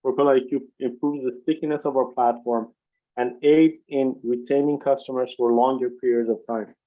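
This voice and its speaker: A man reading prepared financial remarks as a company executive, formal and measured, on a video conference call. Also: medium pitch, steady tone. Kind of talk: scripted corporate earnings presentation. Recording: dull, lo-fi conference call audio.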